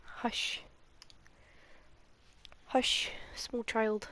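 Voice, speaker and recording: A woman's voice: on the high side, level tone, extremely slow, sharp tone. A woman streamer commenting dryly and sarcastically on a game she is playing. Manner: dry sarcastic quips. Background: game music.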